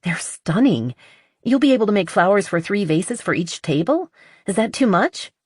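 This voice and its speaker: Woman reading as an excited, gushing bride in her thirties. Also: medium pitch, normal speed, slightly rough, dry recording, clean studio sound, pitched above the performer's own voice. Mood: delighted. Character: Ellen, excited mid-thirties bride, affectionate.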